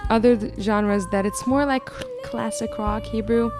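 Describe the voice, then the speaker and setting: A woman from Israel with an Israeli accent, a guest vocalist chatting in an interview, thoughtful and hesitant with many pauses. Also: a little high, music in the background. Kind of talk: casual interview chat.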